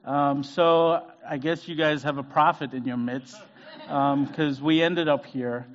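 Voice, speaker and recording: A man's voice: fairly high pitch, fairly even intonation, a little slow, dull audio. A middle-aged man from Zambia, giving a conversational sermon as a guest preacher. He talks with many fillers and restarts. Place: church sanctuary.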